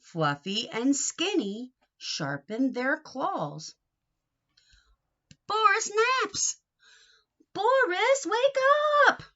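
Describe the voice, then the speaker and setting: A woman reading a picture book aloud to young children in an expressive, sing-song way, coaxing them with questions. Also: sits high, very slow, pauses often, dull audio.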